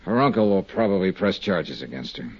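Tough 50s radio detective, very varied pitch, muffled old recording, some music. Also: male voice, sing-song, brisk, a little mellow, husky, exaggerated voice. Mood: level. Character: tough, steady male private investigator. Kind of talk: 1950s radio drama acting and narration.

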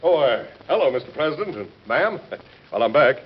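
An actor playing a jaunty sailor-talking gambler with a husky voice and blustery nautical manner in a radio drama. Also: male voice, on the high side, very animated, normal speed, slight background noise. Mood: jovial. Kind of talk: old-time radio drama acting. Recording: dull old radio recording.

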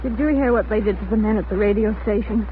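An actress playing a shaken young working daughter in a 1940s radio drama. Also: female voice, mid-range voice, fast, lightly acted. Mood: shaken, urgent. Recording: very noisy, tinny 1940s radio recording.

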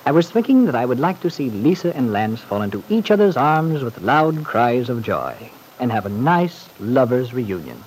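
An actor as wry, harried gentleman, lively delivery. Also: medium pitch, normal speed, few pauses, natural voice. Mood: wry exasperation. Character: wry, harried, well-off gentleman confiding in his manservant. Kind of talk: old-time radio serial acting. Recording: faintly noisy old radio recording.

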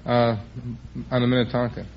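A man's voice: medium pitch, monotone, normal speed, creaky. Young American man on old radio. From Los Angeles, United States. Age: 20s. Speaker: quiz show contestant. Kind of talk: casual interview answers. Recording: dull old radio recording, faint noise.

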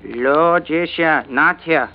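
An actor playing a guarded Chinese shopkeeper with a put-on Chinese accent, speaking terse, halting pidgin in a 1940s radio drama. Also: male voice, a little high. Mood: guarded, stonewalling. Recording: muffled vintage radio recording.

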